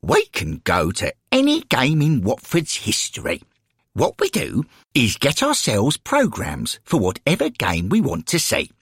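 A man's voice: mid-range voice, wildly swooping pitch, measured pace, a bit bright, breathy edge, dry recording, studio quality, pitched above the performer's own voice, heavily acted. A man voicing a kindly, forthright elderly woman in a storybook reading, with a folksy, enthusiastic way of talking. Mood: eager, wondering.

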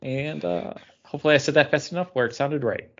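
Male podcast co-host, mid-pitched, casual and self-mocking, muffled lo-fi sound. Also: animated, fast talker. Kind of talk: casual chat about comics and beer. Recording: dull, lo-fi audio.

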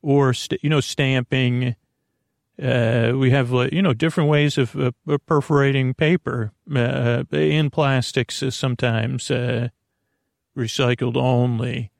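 A man hosting a sleep podcast in a rambling, improvised bedtime monologue, halting and restating himself as he gently reassures the listener. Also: mid-range voice, fairly even intonation, vocal fry, dry recording, clean studio sound.

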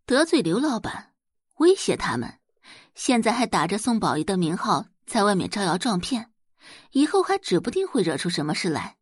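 Woman putting on a shrill, haughty rich wife. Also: sing-song, moderate pace, husky, dry recording, studio quality. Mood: furious, bitter. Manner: shrill, indignant, sneering. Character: haughty, spiteful middle-aged rich wife and mother. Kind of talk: audio drama acting.

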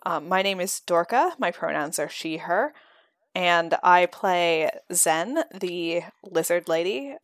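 A woman hosts a casual podcast chat, easygoing, with frequent laughs. Her voice sits a little low. It is recorded close and dry, with no room sound. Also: animated, slow pace, no echo. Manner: friendly, laughs often, a few ums. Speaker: podcast host and editor.

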